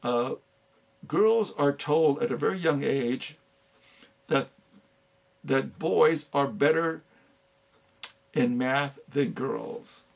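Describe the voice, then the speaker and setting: An older man giving an unscripted talk on philosophy, halting often with fillers and restarts. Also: mid-range voice, creaky. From United States. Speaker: speaker on philosophy.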